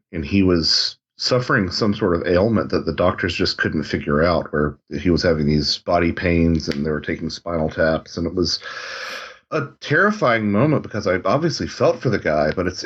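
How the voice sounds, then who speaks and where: A man with a very low, slightly husky voice speaks in a casual roundtable chat. He hesitates and restarts often. His audio comes through muffled and lo-fi. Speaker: musician.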